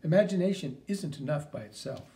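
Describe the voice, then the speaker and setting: A man's voice: a little high, brisk, vocal fry. A man doing a patient, lecturing science teacher. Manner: calm, gently lecturing. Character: patient middle school chemistry teacher, lecturing. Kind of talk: audiobook character reading.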